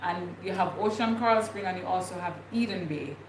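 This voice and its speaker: A woman's voice: fairly low pitch, a little fast. Woman, Jamaican accent, young adult, casual rambling chat, roomy echo, noisy background. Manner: rambling, thinking aloud with many fillers. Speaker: vlogger. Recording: echoey room with clear background noise.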